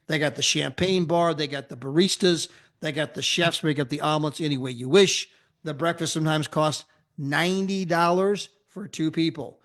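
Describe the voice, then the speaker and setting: An upbeat American man with a fairly high voice pitches travel deals to a crowd of listeners. He talks energetically and keeps tossing in "right?" and "okay?" to hold their attention. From United States. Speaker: travel club presenter. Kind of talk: sales pitch presentation.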